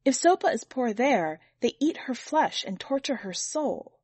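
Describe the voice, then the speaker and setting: A woman voicing a stern, superstitious village mother who warns her children gravely in a story. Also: sits high, very animated, a little slow, darkish tone, no room sound, exaggerated voice. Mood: stern warning.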